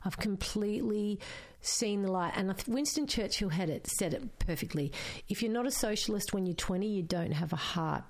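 A woman in her fifties with an Australian accent whose first language was Croatian. She tells personal stories as an interview guest, talking in an animated way with her pitch swinging up and down. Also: gravelly. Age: 50s. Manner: animated and expressive, pitch swinging high and low. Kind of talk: candid personal storytelling.

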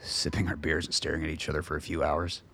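A man acting in a radio play as a wary, cantankerous old father with a husky voice. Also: a bass voice, flat delivery, quick pace, a bit bright, no room sound, exaggerated voice. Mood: grumbling.

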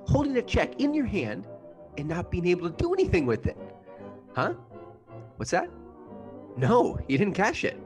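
A man's voice: sits high, huge pitch swings, moderate pace, long pauses, darkish tone, soft background music. A man acting in a radio play as a chatty, gossipy telephone operator telling a story. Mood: gossipy, dramatic. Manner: gossipy, breaking off to take calls.

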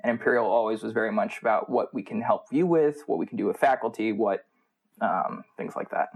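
A man's voice: lowish voice, brisk, a little mellow, husky. American man in a casual interview. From United States. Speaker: MBA student, podcast guest.

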